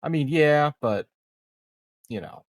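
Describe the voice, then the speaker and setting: A man playing a tabletop roleplaying game, chatting casually in a laconic, deadpan way. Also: fairly high pitch, slow, no echo, studio quality.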